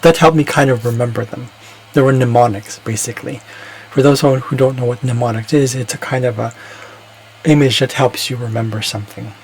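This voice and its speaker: A man's voice: mid-range voice, fairly even intonation, fairly quick, a little hiss or hum, rough recording. A man talking alone in a casual, reflective monologue, rambling and hesitant, often restarting his sentences. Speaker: vlogger.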